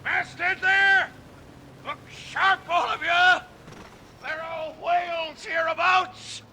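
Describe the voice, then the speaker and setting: An actor playing an obsessed, vengeful old whaling captain in a classic radio drama, speaking in grandiose, archaic oratory. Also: male voice, very high voice, level tone, takes it slowly, sharp tone, heavily acted. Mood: furious. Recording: faint noise, old radio play recording.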